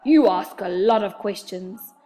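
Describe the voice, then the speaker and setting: A woman voicing a very small, grumpy gnome camp director with a gruff, curt way of talking. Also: mid-range voice, a little slow, warm tone, lightly acted.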